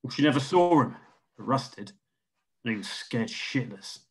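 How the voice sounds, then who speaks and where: Man playing a husky-voiced colony security chief. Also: medium pitch, expressive, moderate pace. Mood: grave, reporting. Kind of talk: screenplay table read.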